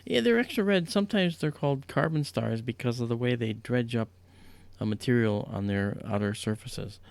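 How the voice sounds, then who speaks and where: A man with a deep voice from a damaged vocal cord, hosting a livestream in casual, explanatory chat with animated storytelling. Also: normal speed, creaky. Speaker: livestream host and astronomy presenter.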